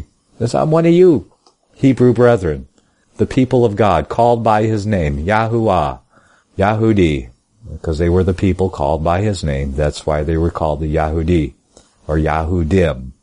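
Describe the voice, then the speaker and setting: Male preacher, very low voice, slow emphatic scripture reading with chatty asides. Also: darkish tone. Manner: reads scripture slowly and emphatically, then explains in casual asides. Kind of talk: sermon reading and explaining scripture.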